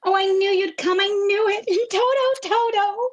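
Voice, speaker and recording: A woman's voice: very high voice, moderate pace, lo-fi sound. A woman playing an earnest, anxious young girl held captive in a comic radio play. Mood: relieved.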